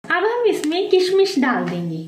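A woman hosting a home cooking video, calmly talking viewers through each step and calling them friends. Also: extremely high pitch, moderate pace, roomy sound, slight background noise. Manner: addresses viewers as friends, calm explaining. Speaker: cooking channel host. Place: home kitchen at the stove.

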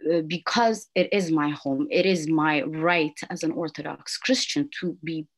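A young adult woman from Ethiopia, an anthropologist speaking on a video-call panel in a measured, thoughtful way with some restarts. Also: low-pitched. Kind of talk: academic panel talk. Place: small room at home. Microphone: laptop video call.

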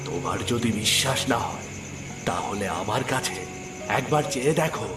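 A man voicing a calm, magical talking swan in a cartoon, speaking gently in a slow, measured, reassuring way. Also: on the low side, reverberant, distant mic, music in the background, natural voice. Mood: calm, mysterious. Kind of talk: cartoon voice acting.

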